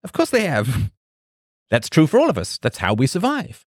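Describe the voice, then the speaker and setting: A man hosting a podcast, reading a scripted monologue full of rhetorical questions and emphatic pauses. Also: mid-range voice, wildly swooping pitch, husky, no room sound, clean studio sound. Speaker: podcast host.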